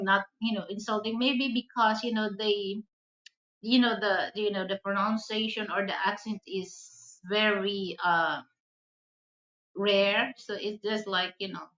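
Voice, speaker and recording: A woman with a Filipino accent chats casually to her viewers in a bright, forward voice. She often circles back and repeats words as she builds a sentence, taking her time. The sound is roomy and somewhat dull. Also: medium pitch. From the Philippines. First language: Hiligaynon. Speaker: video channel host. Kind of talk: casual vlog chat with viewers.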